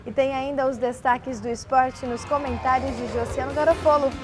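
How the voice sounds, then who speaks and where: A woman's voice: sits high, fairly even intonation, normal speed, music in the background. A young woman presenting a local TV newscast from a studio, reading items with a few self-corrections. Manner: reads from notes, small restarts. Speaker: news anchor. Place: indoor studio set. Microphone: lapel mic.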